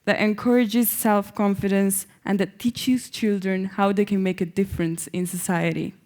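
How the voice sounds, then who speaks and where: A woman's voice: mid-range voice, level tone, normal speed, a little mellow, natural voice. A young woman reading the part of an independent-minded, hopeful Iranian woman in a staged play reading. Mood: reflective. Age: young adult.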